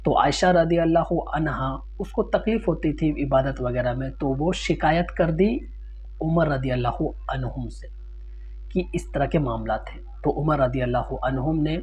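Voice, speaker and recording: A man's voice: fairly high pitch, normal speed. A man delivering an informal Islamic lecture, explaining didactically, citing sources and restating his points in simpler words. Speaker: Islamic religious teacher answering a ruling question. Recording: dull lo-fi sound with loud hum.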